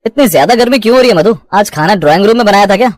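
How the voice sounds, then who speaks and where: A woman's voice: medium pitch, animated, very fast, no echo, studio quality, natural voice. A woman voicing a tired, irritated husband complaining in a family story. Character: tired, irritated husband and son, adult man.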